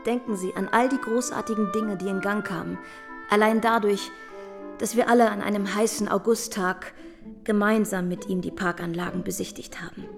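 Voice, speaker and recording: Radio play: actress as effusive genteel young lady, fry in the voice, music underneath. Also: medium pitch, fairly even intonation, moderate pace, natural voice. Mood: effusive, reminiscing. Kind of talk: German radio play acting.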